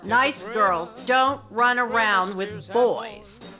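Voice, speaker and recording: A woman's voice: fairly high pitch, extremely slow, over music. A woman playing a possessive, disapproving mother who scolds and insinuates in a period audio drama. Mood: scolding, suspicious. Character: nagging, disapproving, possessive mother of a grown son.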